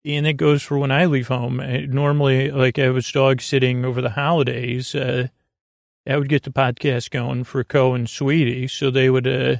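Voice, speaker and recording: Male bedtime-podcast host: creaky fry, dry sound, low-key meandering delivery with unfinished thoughts. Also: little pitch movement, dry recording. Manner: meandering, halting, trailing off mid-thought. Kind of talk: rambling, casual bedtime monologue.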